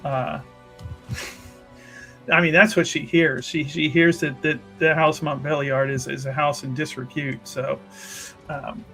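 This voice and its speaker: A man's voice: fairly high pitch, flat delivery, takes it slowly, long pauses, pushed and bright, soft background music. A man playing a tabletop roleplaying game, improvising narration for his character in a casual, wry, conversational way.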